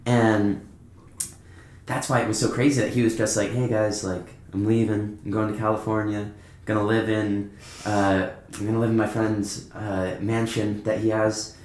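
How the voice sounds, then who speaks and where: A man's voice: on the low side, flat delivery, moderate pace, darkish tone. A young man casually telling anecdotes as a podcast guest, in an informal, rambling way. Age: young adult. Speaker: podcast guest, band musician. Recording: echoey, roomy podcast recording.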